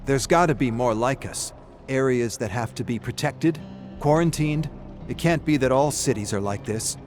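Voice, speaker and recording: A man's voice: mid-range voice, normal speed, darkish tone, faint music behind, voice pushed up, close to an everyday voice. A man voicing a hopeful, idealistic young woman survivor in a dramatic story, earnest and insistent. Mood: pleading, hopeful.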